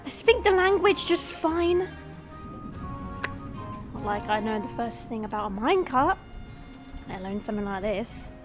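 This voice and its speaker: High-pitched, mischievous young troublemaker. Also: female voice, very animated, music in the background. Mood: teasing. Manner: teasing, playful, drawn-out. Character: mischievous, cheeky, excitable young woman who loves pushing buttons. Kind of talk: audio roleplay acting.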